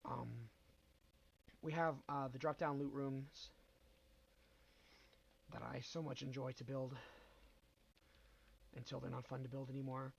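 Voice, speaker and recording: A man's voice: mid-range voice, level tone, a little slow, creaky, long pauses, slightly rough. A young man with a head cold narrates his game build in a loose, rambling way. His mid-range voice is stuffy, full of ums, restarts and second thoughts. He sounds like a casual gaming host at his desk mic. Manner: rambling, hesitant, many ums and self-corrections. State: nasal from a cold.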